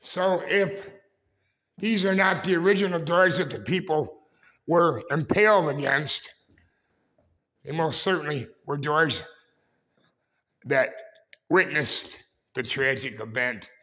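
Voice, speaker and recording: A man hosting a casual vlog with a raspy voice, talking in a halting, rambling way and correcting himself as he goes. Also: on the high side, bright, forceful tone. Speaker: vlog host. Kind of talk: casual walking-tour vlog. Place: indoors in a historic building.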